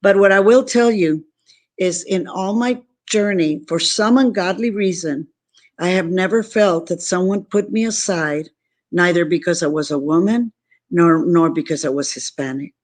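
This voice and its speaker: A woman's voice: on the low side, normal speed, a little mellow. A woman from San Antonio, Texas, whose first language was Spanish, speaking as an interview guest in an emphatic, earnest, repetitive way. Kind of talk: motivational interview talk.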